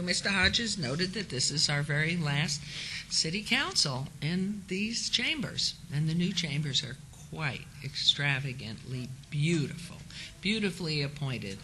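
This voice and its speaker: Hoarse woman chairing a council meeting. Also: sits low, bright, forceful tone, slight background noise, rough recording. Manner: reads a set script, then chats lightly with colleagues. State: hoarse from a cold. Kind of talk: formal procedural meeting chairing. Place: city council chambers.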